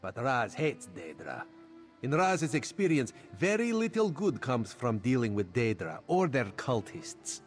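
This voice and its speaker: A man's voice: medium pitch, wildly swooping pitch, a little slow, faint music behind. An actor playing a sly, wry catlike male agent in a video game, with a theatrical manner and talk of himself in the third person. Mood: wry, inquisitive. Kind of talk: video game character dialogue.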